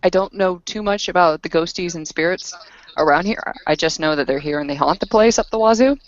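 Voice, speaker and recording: Low-voiced woman chatting in a game. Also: fairly quick, lo-fi sound. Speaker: tabletop game player. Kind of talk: casual in-game chat.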